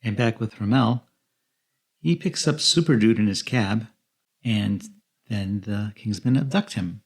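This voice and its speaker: A man's voice: fairly low pitch, measured pace, husky. A man co-hosting a podcast, narrating a recap of a film's plot. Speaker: podcast co-host.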